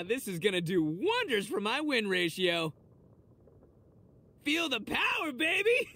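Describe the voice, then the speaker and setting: Loud-mouthed boisterous oni gang leader, game voice, super animated pitch, slangy show-off. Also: male voice, full character voice. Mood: exuberant, cocky. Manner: cocky, theatrical, slangy showboating. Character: brash, boisterous young male oni gang boss. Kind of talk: animated video game character voice lines.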